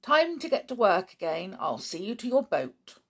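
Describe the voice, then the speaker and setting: A woman's voice: mid-range voice, big pitch swings, moderate pace, fairly bright tone, husky. A woman voicing a stern, irritable middle-aged uncle with a brusque, firm manner in a children's storybook. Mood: brusque, impatient. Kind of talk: children's adventure storybook reading.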